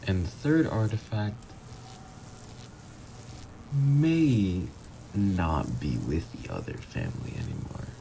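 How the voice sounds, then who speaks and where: Man acting as a slow, deep, smug villain ex. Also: very animated, gravelly, background noise, heavily acted. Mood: flirty, taunting. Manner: smug, drawn-out, intimate teasing. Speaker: voice actor. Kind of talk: flirty ASMR roleplay acting.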